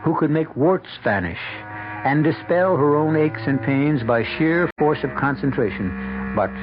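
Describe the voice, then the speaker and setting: An older man playing a wry, theatrical host, narrating an old-time radio mystery in a deliberate manner. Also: a little high, animated. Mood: mock mournful. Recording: dull old radio recording. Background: prominent music.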